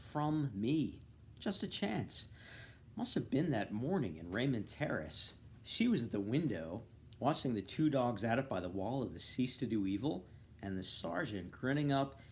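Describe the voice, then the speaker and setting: A man giving an expressive, animated reading of a novel, acting out the dialogue. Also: medium pitch, creaky, a little hiss or hum. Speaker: volunteer reader. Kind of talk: expressive literary audiobook-style reading.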